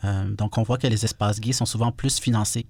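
A young man with a Quebec French accent, talking casually on radio with relaxed fillers. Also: fairly low pitch, fairly quick, no echo. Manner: relaxed, frequent "euh" fillers. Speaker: guest co-host.

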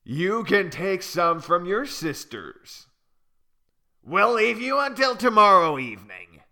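A man voicing a big female ostrich bully who threatens in a slow, cold tone. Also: high-pitched, big pitch swings, creaky, sharp tone, voice pushed up, heavily acted. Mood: threatening. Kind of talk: storybook reading.

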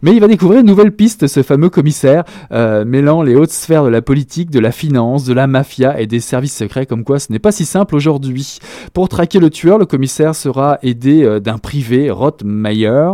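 A man's voice: mid-range voice, expressive, moderate pace, dark tone, no room sound, studio quality. A man co-hosting a radio book show, reviewing crime novels in casual chat, hesitant with many fillers and restarts, joking about his own pronunciation.

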